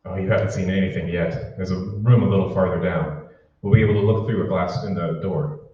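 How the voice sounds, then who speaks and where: A distant, very echoey recording carries a low, mellow male voice. It is a man acting a furtive, anxious conspirator in a radio play, stammering and secretive as he confides. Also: steady tone, a little fast, lightly acted. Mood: hushed, tense. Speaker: amateur stage actor. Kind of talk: old-time radio drama acting. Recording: roomy, distant, dull hall recording with hiss.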